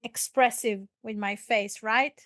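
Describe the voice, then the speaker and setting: A woman hosting a casual, conversational language lesson as the teacher, expressive and often prompting and repeating words. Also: on the high side, slow pace, no echo, studio quality. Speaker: English teacher and podcast host.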